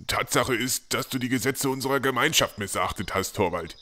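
A man's voice: mid-range voice, normal speed, pushed and bright, close to an everyday voice. A man playing a grave, stern elderly village chief who admonishes others in a fantasy audio drama. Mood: stern, disappointed. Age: older.

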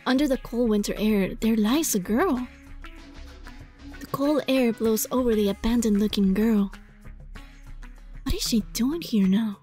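A woman hosting a gaming video, reading a visual novel aloud expressively and adding casual, giggly reactions. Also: fairly high pitch. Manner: expressive, dramatized read-aloud with giggly asides. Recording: music behind the voice. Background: game music.

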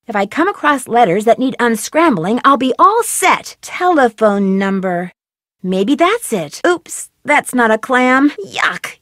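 A woman's voice: high-pitched, fairly bright tone, no room sound, clean studio sound, heavily acted. An actress voicing a curious, upbeat young female detective, chirpily talking to herself in a video game. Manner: chirpy self-talk, quick remarks to herself. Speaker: game protagonist.

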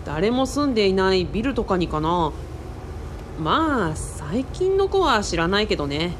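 Woman acting excitable boyish guy, lively pitch. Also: unhurried, a little hiss or hum. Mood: casual, nostalgic. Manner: casual, boyish, exclamatory speech. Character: boyish, excitable young male sidekick, Kaoru. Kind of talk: radio drama acting.

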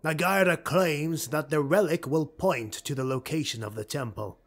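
A man narrating an audiobook, voicing a ruthless, driven male dark elf lord in an urgent, commanding, clipped way. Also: on the high side, moderate pace.

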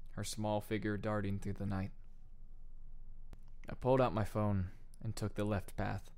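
Man, audiobook narrator, low pitch, clear, low-key scripted first-person reading. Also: a little mellow.

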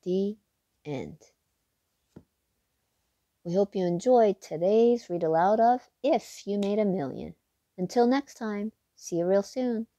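A woman hosting a read-aloud, reading a children's picture book expressively, with big excited leaps in pitch. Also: slow pace, long pauses, dry recording, studio quality.

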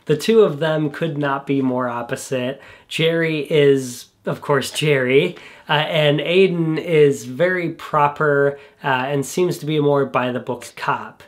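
A man's voice: on the high side, unhurried. Young guy talking movies from his bedroom, bit stuffy nosed, easygoing, lots of uhs. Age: young adult. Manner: relaxed, enthusiastic, frequent uh fillers. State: congested from a cold. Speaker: film reviewer. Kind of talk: casual scripted review. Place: small bedroom studio indoors.

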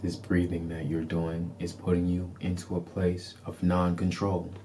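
A man with a dark, soft-edged voice leads a guided meditation. He speaks in calm, deliberate, repeated phrases with very little rise and fall. A faint background noise sits behind him. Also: low-pitched. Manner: calm, deliberate, soothing instruction.

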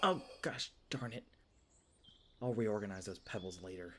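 A man's voice: on the low side, expressive, unhurried, pushed and bright, slight background noise. A man playing a polite, hesitant, folksy elf park ranger in an audio roleplay. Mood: flustered. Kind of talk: ASMR audio roleplay acting.

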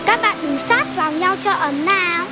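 Casting: kind young cartoon animal, very high childlike voice, eager and coaxing, music behind. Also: lively intonation, normal speed. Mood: soothing. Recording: music bed, rain sounds behind. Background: music and rain.

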